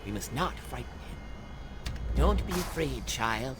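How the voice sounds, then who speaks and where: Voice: mid-range voice, expressive, takes it slowly, noisy background. An actor voicing an earnest, composed spiritual ally who guides a warrior in a video game. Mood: calm, solemn. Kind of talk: video game cinematic acting. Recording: loud game music behind the voice. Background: loud game music.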